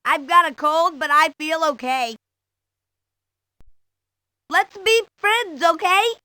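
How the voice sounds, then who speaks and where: A woman voicing a friendly, absent-minded little boy in a video game, talking in a simple, childlike, halting way. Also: extremely high pitch, bright, forceful tone, no echo, heavily acted. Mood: friendly, dozy. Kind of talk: video game character introduction.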